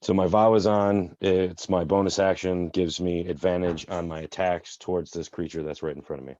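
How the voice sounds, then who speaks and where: Low-voiced man in a muffled game call. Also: steady tone, normal speed, darkish tone. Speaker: player in a tabletop roleplaying game. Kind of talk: casual game chat. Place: indoors on an online group call. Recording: muffled, boxy online call with room echo.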